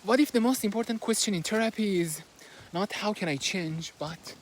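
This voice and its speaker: Man, Persian accent, slightly high voice, halting with lots of fillers, walking outdoors, faint outdoor hiss. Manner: hesitant, with many fillers and restarts. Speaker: book reviewer. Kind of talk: casual book summary while walking. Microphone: handheld phone, no fancy equipment.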